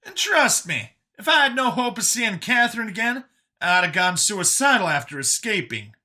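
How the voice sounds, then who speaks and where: A narrator playing an earnest, frank man in a science fiction audiobook. He is guarded yet forgiving, and speaks emphatically in a raised, bright, forceful male voice. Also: high voice, big pitch swings, moderate pace, pitched above the performer's own voice, exaggerated voice. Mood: emphatic. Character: earnest abducted human man, wary but forgiving. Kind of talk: audiobook character dialogue.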